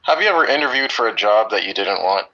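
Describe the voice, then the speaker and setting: Deep-voiced upbeat male English teacher. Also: expressive, fast talker, pushed and bright, muffled recording. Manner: upbeat, teasing. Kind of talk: online class.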